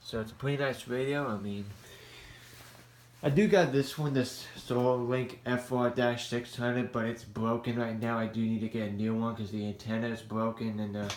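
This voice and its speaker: An American man giving a casual show-and-tell in a halting, rambling, plain-spoken way. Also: medium pitch, fairly even intonation, slight background noise. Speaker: hobbyist vlogger showing a radio collection.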